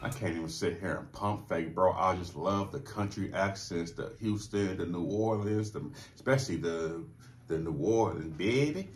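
A man's voice: sits low, normal speed, slight background noise. A man in his thirties with a Californian accent, giving casual reaction commentary in a slangy, profane way. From Long Beach, California. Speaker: reaction video host. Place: small room with foam-panelled walls.

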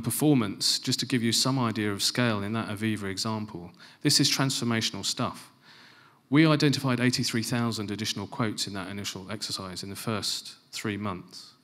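A middle-aged man giving a conference presentation on a large stage into a handheld mic, stressing key phrases and dropping to quieter asides. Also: on the low side. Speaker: conference speaker. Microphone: handheld microphone.

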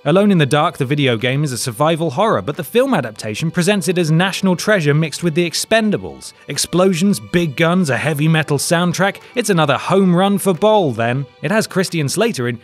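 A man's voice: on the high side, expressive, brisk, hardly any pauses. A man with a British accent reading a scripted countdown as host, sardonic and mock-dramatic with dry asides. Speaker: video host narrator. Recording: voice-over with music behind. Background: music.

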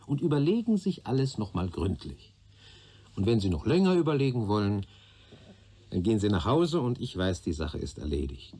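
An actor playing a shrewd, patient police investigator in a German radio play, probing and deliberate, with a dry sardonic authority. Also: male voice, fairly low pitch, darkish tone, husky, full character voice. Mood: measured, knowing. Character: shrewd, patient male police detective questioning a young man.

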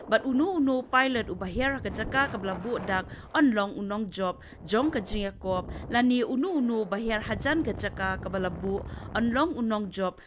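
A woman reading a scripted voice-over narration in an even, measured way. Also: medium pitch, background noise.

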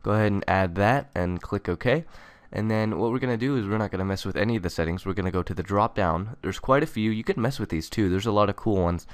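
A young man narrating a casual software tutorial in an informal, conversational way. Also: lowish voice, fast, dry recording. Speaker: tutorial host.

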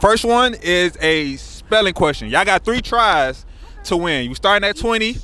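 A man's voice: high voice, animated, a little slow, sharp tone, clear, resonant tone. A man hosting a street trivia game, talking in a playful, slangy way and laughing often. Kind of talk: casual hype street hosting. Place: outdoors on a city street.

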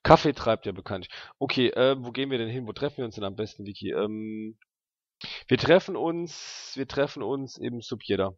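A man's voice: medium pitch, moderate pace, dry recording, highs cut off. A man playing a tabletop roleplaying game, chatting in character with teasing, grumbling banter. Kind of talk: casual in-character game chat.

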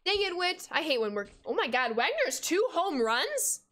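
A woman in her twenties streaming a video game from home, chatting casually with viewers, reading chat aloud and gasping and laughing in bursts of excitement. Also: high-pitched, normal speed. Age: 20s. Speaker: gaming streamer. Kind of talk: casual live-stream chat while playing. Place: home room at a desk.

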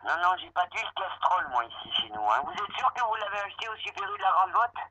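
A woman's voice: mid-range voice, wildly swooping pitch, fast talker, creaky, sharp tone. A woman working as a supermarket employee, insistent and exasperated as she deals with a customer by phone. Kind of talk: customer service phone call. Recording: dull phone line with noise.

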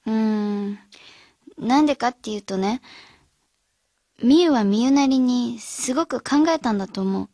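A mellow, caring schoolgirl leader. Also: fairly high pitch, measured pace, long pauses, no echo. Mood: gentle, reflective. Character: thoughtful, caring high school girl leading her friends. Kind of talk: radio drama acting.

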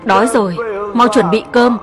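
A woman's voice: a little high, moderate pace. A woman reading a scripted voice-over dub of a costume drama. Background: music.

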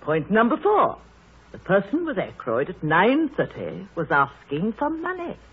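A woman's voice: medium pitch, huge pitch swings, measured pace, slightly rough, heavily acted. An actress playing a nosy, bossy, know-it-all village woman with emphatic, fussy delivery in a 1930s radio drama. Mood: emphatic, certain. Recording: muffled, scratchy 1930s radio broadcast.

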